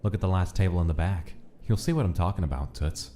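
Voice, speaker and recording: Comic dub mobster , played by a man: deep, dark tone, brisk lines, slight ambience behind. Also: a little hiss or hum, natural voice. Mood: sly.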